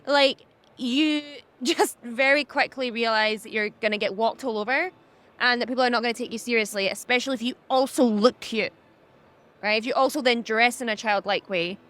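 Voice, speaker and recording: A young woman with a high-pitched voice, talking candidly as a podcast guest, animated and laughing often. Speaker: podcast guest, social media business owner. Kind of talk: candid conversational interview.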